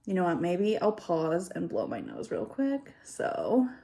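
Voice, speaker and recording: Female vlogger, 30, slightly congested, slow and scattered, self-deprecating casual delivery. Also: on the low side, wildly swooping pitch, gravelly, dark tone. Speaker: YouTube vlogger. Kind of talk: casual rambling chat to camera. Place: home kitchen, indoors.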